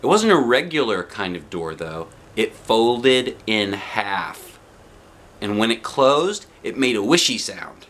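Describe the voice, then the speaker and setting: A man's voice: lowish voice, big pitch swings, slow pace, fairly bright tone, heavily acted. A man reading a children's storybook as an anxious, outspoken little kindergarten girl, with blunt, emphatic childlike phrasing. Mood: matter-of-fact, opinionated.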